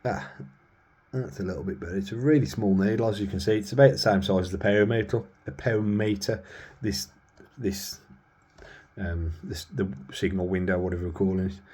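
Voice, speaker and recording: A man's voice: lowish voice, steady tone, fairly quick, mellow. A man giving a casual hands-on demonstration of gear, rambling a little and thinking aloud as he works. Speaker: radio hobbyist presenter. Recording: clear background noise.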